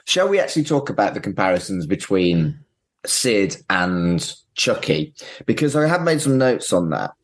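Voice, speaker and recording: A man's voice: fairly low pitch, very animated, normal speed. A man in his forties hosting a casual podcast, talking in an emphatic, sweary way. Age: 40s. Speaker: podcast host.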